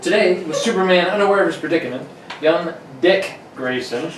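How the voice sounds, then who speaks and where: Man doing 1940s serial narrator, dramatic, emphatic, higher-pitched, noisy recording. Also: a little fast, natural voice. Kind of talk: 1940s radio acting, amateur. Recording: echoey room, distant mic, noisy.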